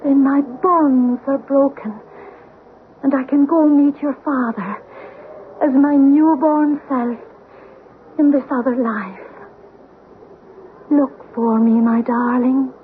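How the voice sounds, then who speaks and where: A woman's voice: high-pitched, steady tone, slow, long pauses. An actress playing a yearning, solemn ghost of a loving mother in an old-time radio drama. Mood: tender, yearning. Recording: muffled, noisy old radio recording.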